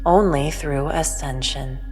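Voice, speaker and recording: An otherworldly female intelligence, played by an actress, pronounces cosmic truths with calm superiority. Her voice is low and slow, formal and measured, in long reverb over music. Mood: serene, instructive. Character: otherworldly all-knowing female entity, cryptic, calmly superior. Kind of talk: sci-fi audio drama.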